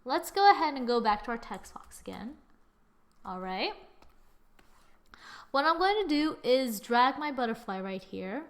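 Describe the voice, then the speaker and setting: A young woman with a slightly high voice talks through a design tutorial at her computer. She narrates every click and step in a chatty, casual way, speaking into a desktop mic. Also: sing-song, a little slow, long pauses. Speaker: tutorial host. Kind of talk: screen-recorded software tutorial.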